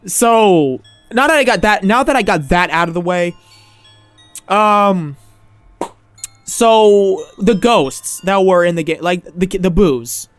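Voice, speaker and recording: A man hosting a casual game review podcast, rambling and tripping over his words, slightly hoarse from losing his voice. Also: high voice, lively intonation, slow pace, faint music behind. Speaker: podcast host.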